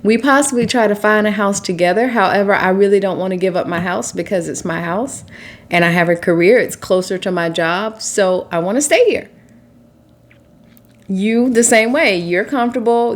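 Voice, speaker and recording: Woman in her forties, co-host and relationship coach, stuffy and nasal, chatty and quick to laugh. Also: medium pitch. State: stuffy nose from allergies. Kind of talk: casual conversational chat.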